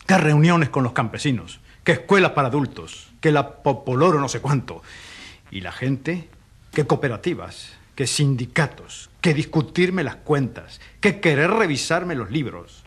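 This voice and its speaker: An actor playing a pious, servile hacienda steward who talks in a deferential, gossipy, complaining way in a radio drama. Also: male voice, a little high, very animated, unhurried, breathy edge, full character voice. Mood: indignant, insinuating.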